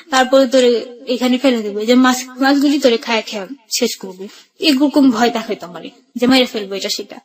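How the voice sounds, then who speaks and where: High-pitched woman with a Dhaka accent. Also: rough recording. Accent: Dhaka Bangladeshi. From Bangladesh. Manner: colloquial, re-enacts dialogue as she recounts. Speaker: radio show guest. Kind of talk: personal life-story narration.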